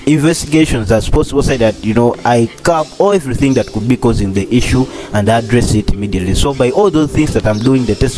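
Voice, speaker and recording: Husky young man rambling over music. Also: mid-range voice. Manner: rambling, repeats phrases, many fillers. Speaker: doctor in training, channel host. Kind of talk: casual vlog commentary. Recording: clear music playing under the voice.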